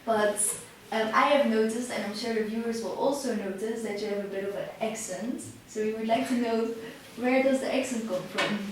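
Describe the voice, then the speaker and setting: A woman's voice: fairly high pitch, fairly even intonation, normal speed, few pauses. A woman conducting an interview, asking polite, brief questions. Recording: echoey room, distant mic, faint hiss.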